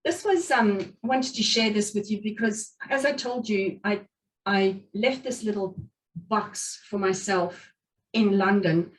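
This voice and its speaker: A woman's voice: medium pitch, a little slow. A middle-aged woman whose first language is Afrikaans, giving an earnest, reflective talk at a meetup with many fillers and restarts while she has covid. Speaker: guest speaker. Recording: speaker distant from the mic.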